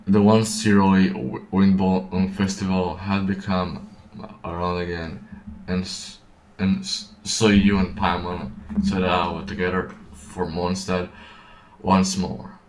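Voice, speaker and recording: Low-voiced man reading slowly and haltingly. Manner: stumbling, repeating words as he reads. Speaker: game streamer. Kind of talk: halting read-aloud of game story text. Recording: echoey room, distant mic, game music.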